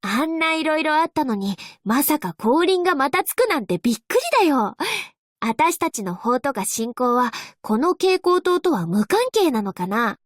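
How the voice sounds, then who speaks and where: Carefree young angel courier girl, anime game style, really high n perky, swoops all over, teasing. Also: very animated, moderate pace, no echo, studio quality. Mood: musing. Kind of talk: Japanese anime game voice acting.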